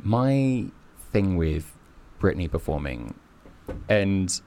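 Deep-voiced guy on a podcast, emphatic, argues his point. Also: big pitch swings, very slow, warm tone, a little hiss or hum. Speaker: podcast co-host. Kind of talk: casual opinionated chat.

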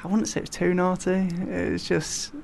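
A young man from Yorkshire with a Yorkshire accent, a singer-songwriter chatting as an interview guest, halting and full of fillers and restarts. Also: sits high, completely flat delivery, vocal fry, slight background noise. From Yorkshire, England. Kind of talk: casual interview chat.

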